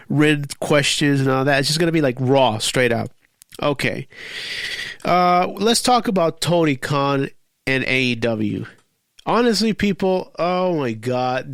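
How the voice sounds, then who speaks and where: Man in his forties, blocked nose from a cold, rambling profane podcast rant, medium pitch, dry close sound. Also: dry recording. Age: 40s. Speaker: podcast host. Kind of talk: unscripted casual podcast rant.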